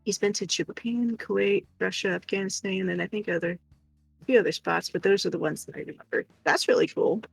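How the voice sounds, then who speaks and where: A woman's voice: mid-range voice, a little fast, creaky, breathy edge, dry recording, soft background music, poor audio. An American woman co-hosting a livestream, chatting casually with viewers. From United States. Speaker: livestream co-host.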